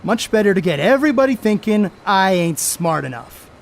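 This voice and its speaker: A man's voice: high voice, big pitch swings, moderate pace, gravelly, heavily acted. A man on stage playing a brash, cocky 1930s baseball star who blusters in folksy, profane slang. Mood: cocky, irritated. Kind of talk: amateur stage play acting.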